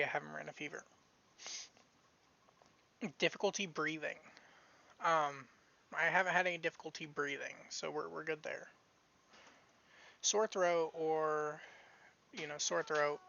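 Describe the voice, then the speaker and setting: Drowsy man with a scratchy, gravelly voice. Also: fairly high pitch, sharp tone, muffled recording. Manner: rambling, with frequent restarts. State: drowsy after surgery. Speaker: streamer giving a health update. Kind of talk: casual live-stream chat.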